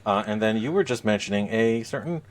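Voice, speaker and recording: A middle-aged man hosting a casual podcast, talking in a measured, hesitant way with frequent pauses. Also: on the low side, animated, a little hiss or hum. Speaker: podcast host. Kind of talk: casual gaming podcast chat.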